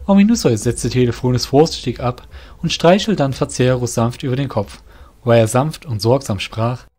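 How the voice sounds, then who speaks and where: A man's voice: mid-range voice, moderate pace, warm tone. A man narrating a scripted fantasy audio drama in a literary storytelling manner.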